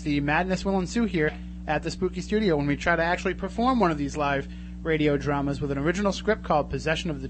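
A man with a slightly high voice hosts live radio in a casual way. There is clear hum and noise behind him in the studio. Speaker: radio show host.

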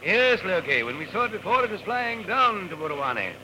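A man's voice: high-pitched, very animated, brisk. An actor playing a pious, fearless middle-aged missionary father in an old radio serial, formal and theatrical, on a noisy vintage recording. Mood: curious. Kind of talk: 1930s radio serial acting.

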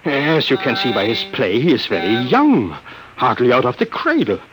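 An actor playing a nervous, secretive older professor, hesitant and formal, in an old radio drama. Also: male voice, mid-range voice, huge pitch swings, moderate pace, over music. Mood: earnest, uneasy. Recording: noisy, crackly vintage radio recording.

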